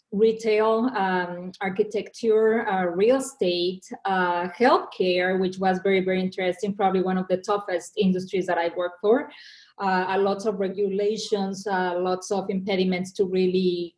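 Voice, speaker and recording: A woman's voice: medium pitch, a little mellow. Mexican woman guest on a podcast, earnest, keeps repeating words as she goes. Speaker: guest, marketing executive. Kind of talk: podcast interview.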